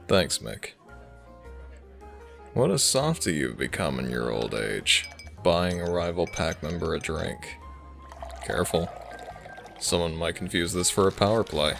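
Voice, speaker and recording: A man performs a cocky male werewolf of a rival pack in an audio drama. He is low and gravelly and takes his time. His delivery is smug, flirtatious and theatrical, made to needle. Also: lively intonation, measured pace, long pauses, fairly bright tone, faint music behind, full character voice. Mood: smug teasing. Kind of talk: audio roleplay drama acting.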